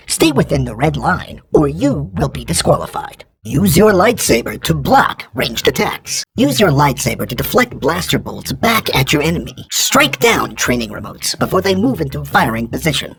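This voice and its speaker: A synthetic training droid for a video game. It sounds very husky and heavily gravelled, with a robotic filter. It issues formal, deadpan instructions and announcements, yet its pitch swings noticeably. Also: normal speed, hardly any pauses, exaggerated voice. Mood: instructive. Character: clipped, emotionless robotic training droid giving combat drills. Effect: robotic voice processing. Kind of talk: scripted video game voice lines.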